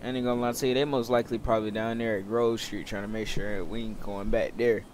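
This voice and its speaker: A man's voice: lowish voice, level tone, quick pace. A young American man giving casual, rambling, slangy commentary over roleplay gameplay, often repeating himself. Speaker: gaming video creator. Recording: loud game engine and street noise. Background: video game vehicle and city ambience.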